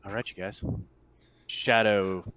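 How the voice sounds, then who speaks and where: A man's voice: on the low side, fairly even intonation, takes it slowly, a bit bright, clear, resonant tone. A man acting as game master, chatting casually and narrating a tabletop game.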